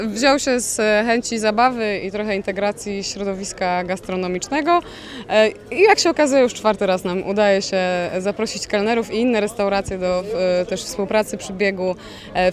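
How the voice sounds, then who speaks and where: A woman's voice: mid-range voice, normal speed. A young woman organizing an event, interviewed on a handheld mic outdoors on a town square, hesitant with many fillers. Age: young adult. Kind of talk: street interview. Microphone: handheld reporter mic. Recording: outdoor street recording with loud music.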